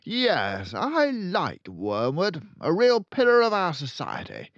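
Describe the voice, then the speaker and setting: Storybook villain: bullying headmistress played by a man, high and loud, barked out impatiently, dull recording. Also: wildly swooping pitch, slow pace, dry recording, exaggerated voice. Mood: emphatic, approving. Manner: barking, shouting. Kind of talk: children's storybook read-aloud.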